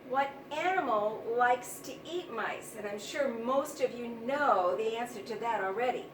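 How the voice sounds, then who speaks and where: High-voiced woman teacher in a roomy, noisy recording. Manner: simple, deliberate explaining with repetition. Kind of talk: read-aloud vocabulary lesson for young children. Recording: roomy, distant mic, noisy background.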